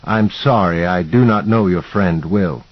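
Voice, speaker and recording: A man's voice: low-pitched, unhurried, no echo, lo-fi sound. A man voicing a shy, courteous male professor with a Scandinavian accent in an audiobook, polite, formal and hesitant.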